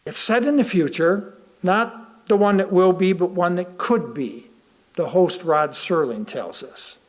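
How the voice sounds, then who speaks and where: An American man with a high voice delivers a written sermon. His pace is slow and deliberate, and he leaves pauses to let key phrases land. He puts rhetorical questions to his listeners as he reads. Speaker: lay reader delivering a sermon. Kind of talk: sermon read aloud to a congregation.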